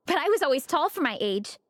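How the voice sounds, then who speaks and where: An easygoing, sociable young woman from a video game. Her voice is high, and she chats along in a breezy, upbeat, friendly manner. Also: fairly bright tone, slight background noise. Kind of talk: English video game voice acting.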